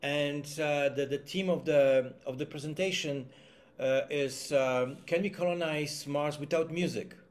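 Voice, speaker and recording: A man's voice: fairly high pitch, unhurried. A man with a Polish accent giving a talk as a concert musician, hesitant with many fillers and restarts, partly reading a prepared text. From Poland. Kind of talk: presentation, partly read aloud.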